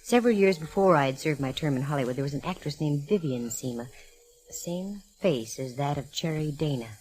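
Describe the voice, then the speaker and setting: A self-assured American woman detective from a 1940s radio mystery. Her voice sits low for a woman. She is wry and breezy, delivering hardboiled narration and snappy banter. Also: animated, fairly quick, darkish tone, poor audio. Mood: hushed suspense. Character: wisecracking, self-assured female private eye telling her case.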